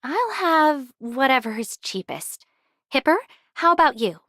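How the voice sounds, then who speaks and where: A woman's voice: high-pitched, big pitch swings, unhurried, fairly bright tone, no echo, clean studio sound, heavily acted. An actress playing a tactful, guarded young woman in an anime game, speaking expressively and diplomatically. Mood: casual. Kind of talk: English anime game voice acting.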